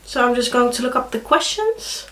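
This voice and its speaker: A woman's voice: fairly high pitch, animated, normal speed, sharp tone, echoey room. A young woman from the Netherlands with a Dutch accent, speaking with a cold in a chatty, hesitant vlog to camera. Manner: chatty, hesitant, many fillers and restarts. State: congested with a cold. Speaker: vlogger. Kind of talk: casual chat to camera.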